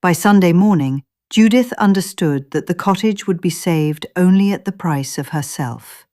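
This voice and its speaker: A woman narrating a scripted story in a measured, literary storytelling manner. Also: fairly low pitch, dark tone.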